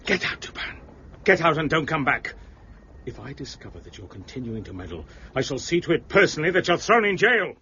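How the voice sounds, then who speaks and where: An actor playing an irate, pompous police prefect in an audio drama, forceful and domineering. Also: male voice, on the high side, very animated, a little fast, heavily acted. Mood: angry, threatening. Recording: muffled, noisy lo-fi audio.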